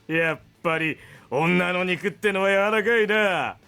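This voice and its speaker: Sharp, creaky-voiced sadistic villain. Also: male voice, sits high, moderate pace, faint music behind. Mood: gloating, menacing. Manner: menacing, taunting, rough-spoken. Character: sadistic, bloodthirsty man-eating male villain with a scythe. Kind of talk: Japanese visual novel voice acting.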